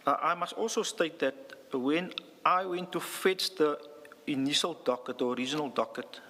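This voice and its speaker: Creaky-voiced man testifying in a reverberant hall. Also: on the high side, bright, forceful tone, slight background noise. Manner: deferential, halting, addresses the commissioner. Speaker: witness testifying at a commission. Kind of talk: formal testimony. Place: large hearing room.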